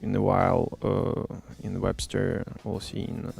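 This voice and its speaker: A man in middle age with a low, gravelly voice and a mellow, dark tone, speaking English as an after growing up in Ukraine. He talks haltingly, with lots of fillers and restarts, in a relaxed studio chat. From born in Russia, grew up in Ukraine. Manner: halting, many fillers and restarts, non-native phrasing. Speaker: musician guest. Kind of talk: casual interview chat. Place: small studio.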